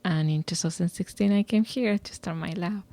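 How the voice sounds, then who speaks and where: A woman in her forties with an Argentine Spanish accent answers interview questions thoughtfully, dropping "eh" between her thoughts. Her voice sits a touch low. The recording is close and dry. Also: normal speed, mellow, no room sound. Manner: thoughtful, with "eh" fillers and restarts. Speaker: guest scientist.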